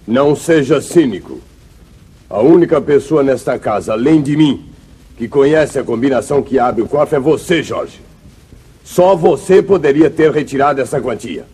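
A man's voice: medium pitch, animated, moderate pace, mellow, slightly rough. An actor playing a stern, reproachful father in a Brazilian radio drama of the 1950s. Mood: stern, accusing. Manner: grave, reproachful, commanding. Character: stern, wronged father of a grown son, a rancher.

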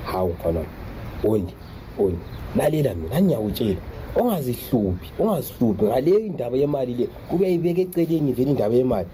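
A man's voice: mid-range voice, big pitch swings, fast talker, warm tone, a little hiss or hum, close to an everyday voice, low-quality recording. A man playing a proud, protective father in a radio drama, talking in repetitive, colloquial exclamations. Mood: earnest, protesting. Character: proud, protective father struggling to provide for his son.

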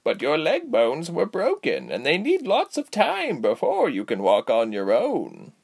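A man acts out an elderly woman healer from a storybook. The voice is lifted high and swings widely, with slow, drawn-out phrases. The manner is cheery, giggly and gently teasing. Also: wildly swooping pitch, vocal fry, breathy edge, heavily acted. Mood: kindly, amused. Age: older. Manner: slow, drawling, kindly teasing delivery. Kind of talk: storybook character voice.

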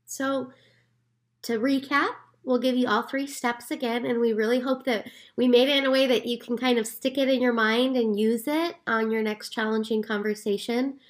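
A woman co-hosting a podcast in calm, explanatory casual chat. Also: on the high side, moderate pace. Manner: calm, explanatory, recaps steps. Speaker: podcast co-host.

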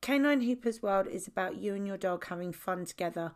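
Croaky woman hosting a dog-training podcast. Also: mid-range voice, expressive, brisk. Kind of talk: scripted podcast teaching.

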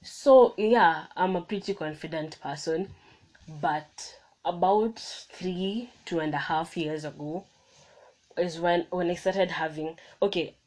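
Young woman, low voice, solo podcast host recorded on a phone, casual and encouraging, stumbles and restarts. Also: slow, a little mellow, low-quality recording. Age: young adult. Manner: chatty, self-correcting, motivational. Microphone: phone microphone.

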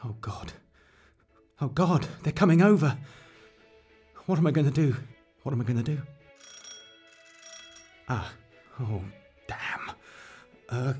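A man playing a flustered, evasive suburban neighbour in a dark comedy audio drama, nervous, over-polite and hesitant. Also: medium pitch, expressive, slow pace, pauses often, slightly rough, faint music behind. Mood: panicked. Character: flustered, evasive suburban male neighbour caught lying to police.

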